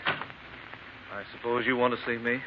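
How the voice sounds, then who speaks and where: An American actor playing a guilt-ridden, weary husband with halting delivery in a 1940s radio mystery. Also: male voice, mid-range voice, steady tone, natural voice. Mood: weary, resigned. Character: troubled, guilt-ridden husband resigned to his own confession. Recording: muffled, noisy 1940s radio broadcast.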